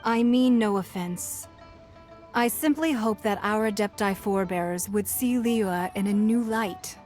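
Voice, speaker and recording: An actress playing a poised, shrewd businesswoman who leads a fantasy city, speaking in a measured, formal, diplomatic way in a game. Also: medium pitch, darkish tone, close to an everyday voice. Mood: composed, diplomatic. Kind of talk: scripted fantasy game dialogue. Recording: music under the voice.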